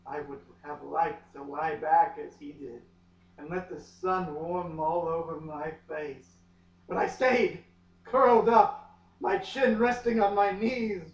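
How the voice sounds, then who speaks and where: Male reader, slow and overwrought, comic dramatic delivery with long pauses; muffled, distant room recording. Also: high-pitched. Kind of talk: melodramatic comic dramatic reading of a novel. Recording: echoey room, far from mic, muffled.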